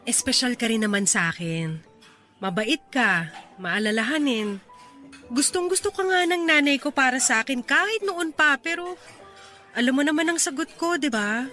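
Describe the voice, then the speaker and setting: Young Filipina romantic lead: high, bright, playful but guarded, radio drama with music underneath. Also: female voice, expressive, moderate pace. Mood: gently firm. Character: young single woman, teasing but guarded, goal-driven.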